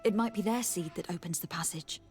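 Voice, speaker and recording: A woman playing a courteous, inquisitive noblewoman in a fantasy video game, formal and thoughtful, with a husky voice. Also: fairly low pitch, brisk, darkish tone, faint music behind, close to an everyday voice. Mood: thoughtful, composed. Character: courteous, inquisitive young noblewoman envoy and adventurer. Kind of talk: video game dialogue acting.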